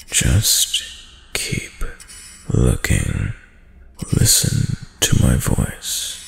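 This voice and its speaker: A man playing a dominant, seductive dark prince in an intimate roleplay audio drama, suave, hushed and deliberate, with a deep, gravelly, breathy voice. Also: heavily acted. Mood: hypnotic, commanding. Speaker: voice actor.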